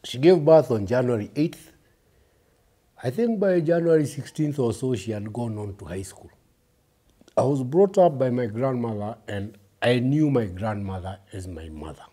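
The husky, mellow voice of a Kenyan man in his fifties, the guest on a testimony show who leans in to tell his life story and press each point home. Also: medium pitch, lively intonation, normal speed. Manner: reflective, emphatic, addresses listeners directly. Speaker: interview guest. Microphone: lapel mic.

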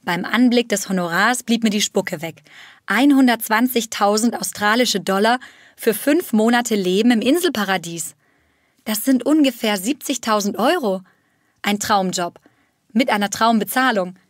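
A woman reads a first-person story in a steady, clear narrating voice. Her pitch sits mid-range, and the sound is dry and close-miked. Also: normal speed, no room sound.

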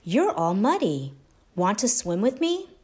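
Picture-book frog, played by a woman: male, stubborn, touchy, childish taunts, very animated pitch. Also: unhurried, breathy edge, full character voice. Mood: friendly. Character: indignant, stubborn male frog, easily offended.

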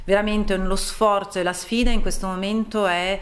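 A middle-aged woman being interviewed as a town mayor in a TV studio, explaining in an earnest, measured way with frequent pauses. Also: mid-range voice, fairly even intonation.

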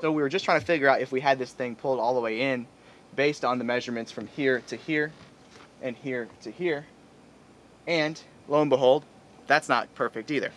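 A man's voice: medium pitch, fairly bright tone. American guy in his 30s chatting to camera about stuff, outside, bit of background noise. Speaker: sailing vlog host. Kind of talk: casual explaining to camera. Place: outdoors on a sailboat in a boatyard. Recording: outdoor recording with ambient noise.

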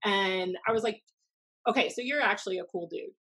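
Woman, thirties, American accent, mid-pitched voice, blunt storyteller, animated casual podcast chat. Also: fairly quick, husky. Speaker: podcast host. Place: small room at home, indoors. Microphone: desk podcast microphone on video call.

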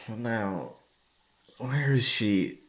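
A man's voice: mid-range voice, animated, drawn-out words. A man playing an exhausted, affectionate young boyfriend in an intimate ASMR roleplay, speaking hesitantly with sighs. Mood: groggy, gentle. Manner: tired, sighing, hesitant, soft-hearted.

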